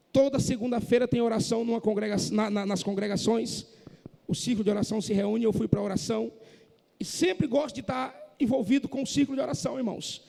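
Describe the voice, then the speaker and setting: A man preaching a sermon in a church, impassioned and rising to shouts, from northeastern Brazil. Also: very high-pitched, creaky. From Northeast Brazil, Maranhão. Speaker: pastor. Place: church hall. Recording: echoey church hall.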